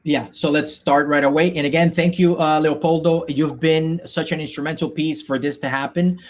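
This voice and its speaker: A man's voice: a little high, steady tone, moderate pace, slight background noise. A man in his thirties from the Dominican Republic, a native Spanish speaker, teaching a live online coding workshop over a video call, upbeat, with many fillers and restarts. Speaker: workshop instructor.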